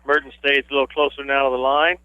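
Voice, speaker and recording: A man's voice: medium pitch, little pitch movement, quick pace, no room sound. A man doing informal, conversational color commentary on a baseball radio broadcast, with folksy phrasing and slightly dull audio.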